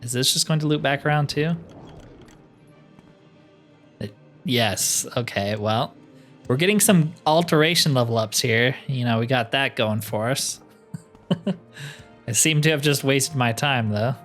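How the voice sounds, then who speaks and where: A man's voice: mid-range voice, unhurried, pauses often, fairly bright tone. A man giving casual, wry video game commentary, thinking aloud as he plays. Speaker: gaming video host. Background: game music.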